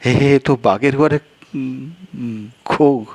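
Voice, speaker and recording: An anxious, gullible man played by a male storyteller talks in a raised, strained-up pitch. He is easily flustered, stammering and exclaiming as he protests. It is an audio story, recorded close and dry. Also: drawn-out words, a little mellow, dry recording. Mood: agitated. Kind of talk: Bengali audio story character dialogue.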